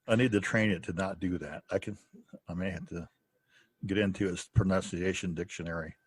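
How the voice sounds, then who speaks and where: A man co-hosting a podcast interview in a casual, halting, chatty way, often chuckling. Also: low-pitched, darkish tone, slightly rough. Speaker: podcast co-host.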